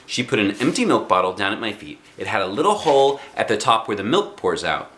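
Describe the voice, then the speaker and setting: A man in his forties reading a children's book aloud expressively, with dramatic pauses and emphasis. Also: lowish voice, very animated, fairly bright tone, slight background noise. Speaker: storybook reader. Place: small living room indoors.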